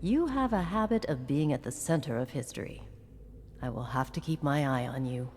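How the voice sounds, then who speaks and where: An actress playing a stern, wise female commander in a video game, measured, watchful and authoritative. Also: extremely low pitch, animated, darkish tone, long reverb tail, slight background noise. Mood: intrigued, watchful. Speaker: mentor and commander. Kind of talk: cinematic video game acting.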